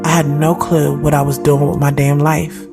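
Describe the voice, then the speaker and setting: A woman's voice: low voice, little pitch movement, moderate pace. A woman playing a reflective, conflicted thirty-year-old who narrates her own audio drama in a candid, slangy, conversational way. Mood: frustrated, reflective. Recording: music behind the voice. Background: music.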